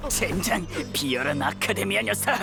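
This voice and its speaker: A man's voice: high-pitched, expressive, normal speed, creaky. A male actor playing a swaggering, hot-tempered henchman in a game, with an aggressive manner. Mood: furious. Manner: taunting, aggressive. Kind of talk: anime-style game voice acting. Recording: loud music behind the voice.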